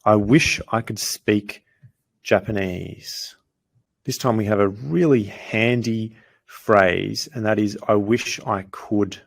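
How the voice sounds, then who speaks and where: Man, language teacher, low voice, gravelly, patient grammar explanation. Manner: patient, clear, slows down for foreign phrases. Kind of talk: explaining grammar with example sentences.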